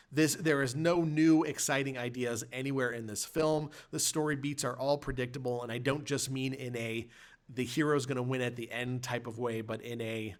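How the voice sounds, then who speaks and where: Man chatting casually about film, halting delivery. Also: mid-range voice, fairly bright tone. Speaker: podcast host. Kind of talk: casual film review chat.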